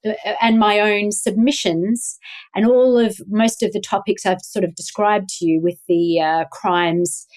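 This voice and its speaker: A woman's voice: medium pitch, steady tone, measured pace, darkish tone. Woman with a Melbourne accent. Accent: Australian (Melbourne). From Australia. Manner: rambling, with many ums and restarts. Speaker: guest, activist. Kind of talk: casual interview talk.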